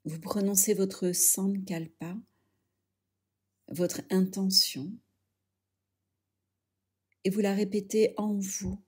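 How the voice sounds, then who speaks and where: A woman leading a guided yoga relaxation, speaking softly and soothingly in short phrases with pauses. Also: mid-range voice, flat delivery, husky. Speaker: yoga nidra instructor.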